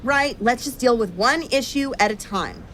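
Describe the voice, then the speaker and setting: A woman's voice: fairly high pitch, expressive, moderate pace, a bit bright, slight background noise. A woman acting in an audio drama as an anxious, apologetic royal who gives orders in a hesitant, earnest way.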